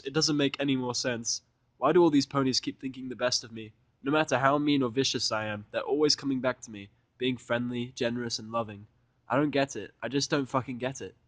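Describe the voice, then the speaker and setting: A man's voice: mid-range voice, fairly bright tone, dry recording. Man reading a story, dry close sound. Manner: irreverent, sweary. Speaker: fan fiction reader. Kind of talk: first-person story narration with casual asides.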